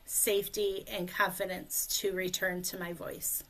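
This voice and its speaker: A woman speech coach leading a guided tapping session, speaking slowly and calmly in short phrases for a client to repeat, over a video call. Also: medium pitch, poor audio. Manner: slow, calm, leads phrases for repetition.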